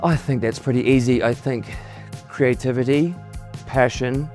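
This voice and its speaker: A middle-aged man, a homeowner speaking candidly in a relaxed testimonial interview, often restarting his words. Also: medium pitch, unhurried. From New Zealand. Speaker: homeowner giving a client testimonial. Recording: soft music underneath.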